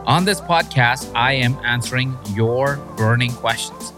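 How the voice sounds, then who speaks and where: A man hosting a coaching podcast, reading a measured scripted introduction. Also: mid-range voice, over music.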